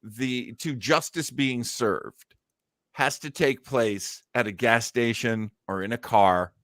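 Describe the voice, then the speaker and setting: A man's voice: medium pitch, slow pace, fairly bright tone, dry recording. A man hosting a show in casual, chatty commentary. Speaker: host of an old-time radio show podcast.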